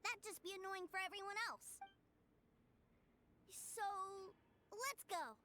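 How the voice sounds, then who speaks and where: A woman voicing a sweet, eager young boy in a game, with an earnest, excited manner, over game music. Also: very high voice, takes it slowly, noisy background, full character voice. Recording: game audio with music behind.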